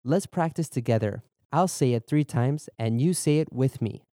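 A man's voice: medium pitch, warm tone, no echo, clean studio sound. A young adult man, born in Germany and raised in Boston, explaining phrases in Japanese and English as a podcast language teacher. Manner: clear, measured explanations, slows down for repeat drills. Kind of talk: bilingual lesson narration, Japanese and English.

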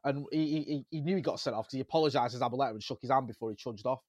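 A man with a Manchester accent chatting on a football podcast and telling lively stories about his days as a local radio reporter. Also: medium pitch, very fast, no echo. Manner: animated storyteller, jumps into mimicry of fans. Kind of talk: casual football podcast chat.

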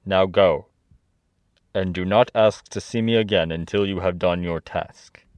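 A man voicing an imperious giant floating wizard head from a storybook, speaking in a stern, deliberate, commanding way. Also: low-pitched, a little mellow, dry recording. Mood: stern, dismissive. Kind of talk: storybook character reading.

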